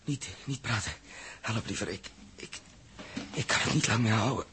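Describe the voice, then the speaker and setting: An actor playing a strained, exhausted but dogged spaceship captain in a 1960s radio drama, halting and repeating himself. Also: male voice, lowish voice, pushed and bright. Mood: strained, urgent. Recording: slightly noisy 1960s radio recording.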